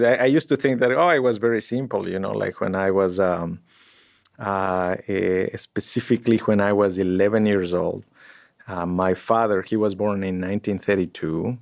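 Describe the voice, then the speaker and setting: A man's voice: on the low side, moderate pace, creaky, dry recording, studio quality. A man, a guest in a podcast interview, talking in a reflective way and searching for words. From Ecuador. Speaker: guest scientist.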